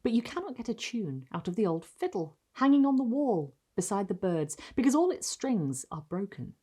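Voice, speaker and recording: A woman reading a gothic short story aloud, measured and expressive, lingering on phrases. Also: medium pitch, husky. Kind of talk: literary audiobook-style narration of gothic fiction.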